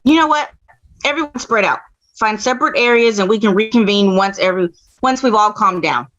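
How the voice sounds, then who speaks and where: A woman's voice: mid-range voice, expressive, a little fast, a bit bright, close to an everyday voice. A woman playing a stern, commanding lady of the house in a screenplay table read. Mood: stern, controlled. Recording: muffled lo-fi sound.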